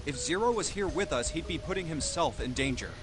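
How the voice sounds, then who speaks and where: An actor playing an excitable, skeptical young man in a game, questioning everything. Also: on the high side, brisk, over music, natural voice. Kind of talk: English game dub.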